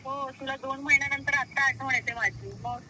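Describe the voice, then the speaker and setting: A woman's voice: sits high, little pitch movement, moderate pace, bright, forceful tone, a little hiss or hum. A young woman chatting casually on a phone call, teasing and sceptical in manner. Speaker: person called on the phone.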